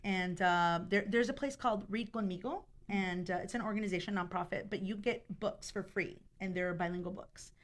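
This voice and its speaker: A young woman who grew up speaking Spanish first, telling stories as a guest on a talk radio interview, animated, with frequent fillers and restarts. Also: mid-range voice, fairly quick, hardly any pauses. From born in Chicago, raised partly in Mexico. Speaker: guest, children's book author.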